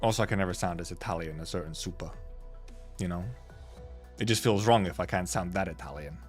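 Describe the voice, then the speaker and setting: A young male streamer chatting between story lines, relaxed and joking, with some swearing. His voice sits a little low, and faint music plays underneath. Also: a little fast. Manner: casual, jokey asides with swearing. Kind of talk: live-streamed game story reading.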